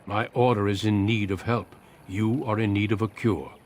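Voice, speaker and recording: A man playing a seasoned, steady warrior recruiter in a fantasy game, speaking in a measured, formal and courteous manner. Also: fairly low pitch, slight background noise, natural voice. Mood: grave. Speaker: Grey Warden recruiter. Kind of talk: video game cutscene acting.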